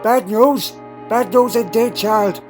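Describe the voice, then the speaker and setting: A man's voice: sits high, slow, darkish tone. Elderly time traveller, played by a man: doddering, fussy, imperious but fond, hums questioningly; audio drama over music. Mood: grave, indignant. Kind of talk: fan audio drama acting. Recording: music underneath.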